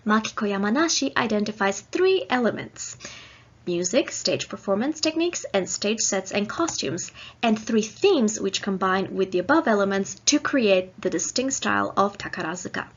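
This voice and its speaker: A woman presents a scripted academic lecture in a husky voice at a medium pitch. Her delivery is measured and explanatory, and the recording sounds dull and lo-fi, like online call audio. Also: expressive. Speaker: guest lecturer.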